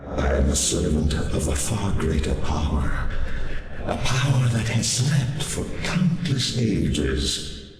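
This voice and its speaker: A man voicing an ancient, sinister servant of a dark power in a game, speaking in a grandiose, condescending manner. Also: low voice, sing-song, slow pace, a little hiss or hum, heavily acted. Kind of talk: theatrical villain monologue in a game. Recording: long cavernous reverb, faint music.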